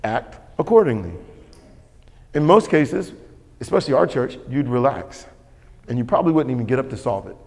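A man's voice: medium pitch, lively intonation, moderate pace, long pauses, darkish tone. A man preaching a sermon as pastor, emphatic and repetitive, calling for responses from the congregation. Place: church sanctuary. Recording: long hall reverb.

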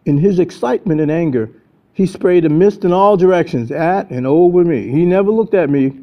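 A man's voice: on the high side, brisk. An American man in his seventies reads a story aloud as a storyteller, in dramatic first-person narration. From United States (New England). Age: 70s. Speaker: storyteller reading own book. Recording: dull lo-fi audio.

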